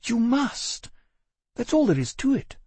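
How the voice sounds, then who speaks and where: A man's voice: on the high side, big pitch swings, normal speed, creaky, darkish tone, breathy edge, no echo, voice pushed up, exaggerated voice. A man reading aloud, voicing a cold, weary young woman who speaks in clipped, dismissive lines.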